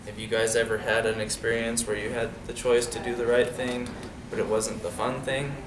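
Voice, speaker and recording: A man reading a storybook aloud to young listeners in an expressive, dramatic way. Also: mid-range voice, normal speed. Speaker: storybook reader. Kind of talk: animated read-aloud story with asides to children. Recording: roomy, distant mic, noisy background.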